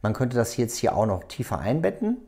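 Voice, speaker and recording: Mellow-voiced man giving a hands-on tutorial. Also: medium pitch, sing-song. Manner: unscripted, halting, step-by-step explaining. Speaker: tutorial host. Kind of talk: hands-on tutorial, talking while working.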